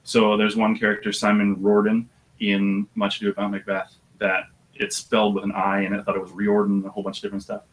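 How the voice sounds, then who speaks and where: An audiobook narrator with a deep voice, talking about his work in a relaxed, thoughtful way with some hesitation. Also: fairly quick, a little hiss or hum, low-quality recording. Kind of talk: casual interview chat.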